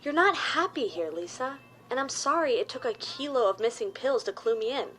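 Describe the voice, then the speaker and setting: An actress playing a blunt, patronizing boss who fancies herself the cool work mom, in a scripted podcast comedy. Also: female voice, on the high side, expressive, brisk, fairly bright tone, soft background music.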